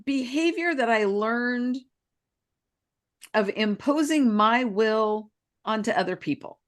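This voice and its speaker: A woman in her sixties with a fairly high, very lively voice that rises and falls. She speaks slowly and reflectively, taking her time over each thought. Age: 60s. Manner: slow and reflective, lots of agreeing interjections. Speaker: podcast co-host, spiritual healer. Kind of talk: casual chat.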